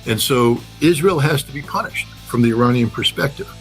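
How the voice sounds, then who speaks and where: Male political analyst, medium pitch, blunt, emphatic, conversational, repetitive phrasing, faint music underneath. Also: expressive, a little fast, rough recording.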